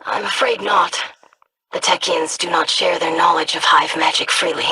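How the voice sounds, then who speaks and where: A curious formal alien scholar. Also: female voice, lowish voice, fairly even intonation, moderate pace, sharp tone, slight background noise, rough recording. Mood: inquisitive. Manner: precise, formal diction.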